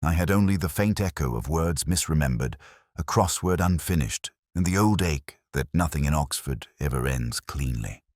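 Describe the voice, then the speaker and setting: A man playing a weary, erudite, melancholy police detective who narrates his story in a measured, literary first person. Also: extremely low pitch, lively intonation, a little mellow, no echo, clean studio sound. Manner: measured, brooding, wistful.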